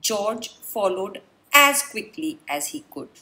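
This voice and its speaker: A woman reading a children's storybook aloud, expressive and dramatic, with deliberate pauses. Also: mid-range voice. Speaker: children's book reader. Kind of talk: read-aloud storybook narration.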